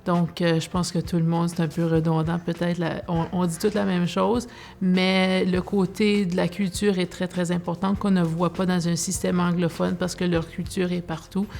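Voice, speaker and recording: A woman, explaining in a measured way in a documentary interview. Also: fairly low pitch, level tone, gravelly, darkish tone.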